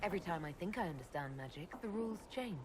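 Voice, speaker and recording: An actress playing a calm, direct elf mage who leads others in a fantasy video game. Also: female voice, lowish voice, quick pace, noisy background, natural voice. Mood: composed.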